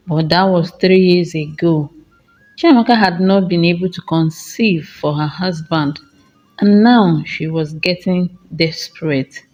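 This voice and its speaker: A woman narrating a scripted folktale in short, measured, dramatic phrases. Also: fairly low pitch, muffled recording. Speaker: storyteller.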